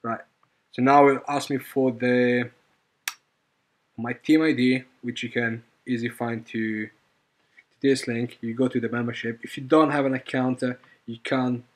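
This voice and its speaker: A man with a medium-pitched voice presents an informal coding walkthrough. His delivery is hesitant and halting, as he explains steps while working through them. He often repeats a phrase before finishing it. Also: steady tone. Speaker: software tutorial presenter. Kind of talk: informal screen-recorded coding tutorial.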